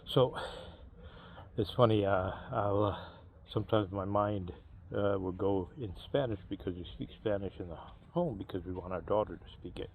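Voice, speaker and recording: A man in his fifties speaks in a low, unhurried voice, chatting to camera with frequent pauses, fillers and restarts. Faint background noise sits under the recording. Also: narrow, tinny audio. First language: English. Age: 50s. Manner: halting, many fillers and restarts. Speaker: vlogger. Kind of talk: casual personal talk to camera.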